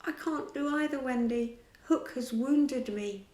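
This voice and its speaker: A woman's voice: high-pitched, measured pace, a little mellow, reverberant, voice pushed up. A woman reading a storybook aloud voices a bold, cocky boy hero with a commanding delivery. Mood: weak.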